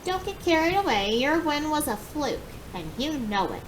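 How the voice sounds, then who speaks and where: A woman's voice: big pitch swings, moderate pace. A woman voicing a stern, dismissive male werewolf coach in a storybook, talking in a condescending, persuasive way. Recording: clear background noise with rumble.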